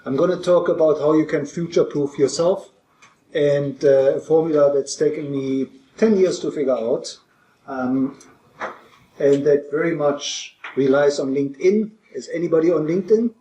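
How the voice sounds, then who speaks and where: German-accented male speaker in his fifties, a little high, warm tone, chatty delivery with fillers, reverberant room. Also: moderate pace, a little hiss or hum. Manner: conversational, with frequent fillers and restarts. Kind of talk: presentation to an audience.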